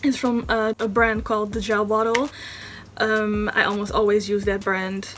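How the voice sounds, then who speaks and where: A woman's voice: mid-range voice, little pitch movement, moderate pace, fairly bright tone, slight background noise. A young woman from the Netherlands with a Dutch accent, chatting casually through a nail tutorial voice-over, with many ums. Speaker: beauty vlogger.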